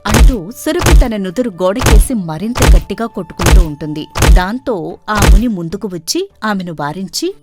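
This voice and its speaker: A woman reading scripted storybook narration as the narrator of an animated folktale. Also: medium pitch, moderate pace. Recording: faint music behind. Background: faint music.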